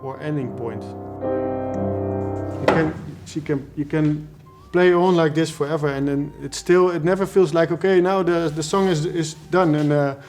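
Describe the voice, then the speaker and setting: A man in an interview explaining his craft as a composer, in halting short phrases. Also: a little high, a little mellow. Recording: music behind the voice.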